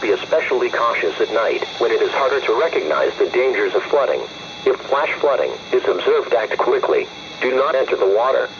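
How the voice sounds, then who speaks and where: A man's voice: medium pitch, expressive, fairly quick. A synthetic man's voice reading a scripted weather alert over the radio in a formal, impersonal bulletin manner. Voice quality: synthetic text-to-speech voice. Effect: weather radio broadcast. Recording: dull lo-fi radio with faint noise.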